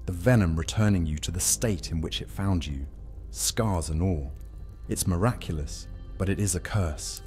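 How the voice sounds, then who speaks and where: Deep, dark-voiced solemn vampire, acted by a man. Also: moderate pace, natural voice. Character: solemn, knowing male vampire. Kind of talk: immersive audio drama roleplay. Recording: music behind the voice.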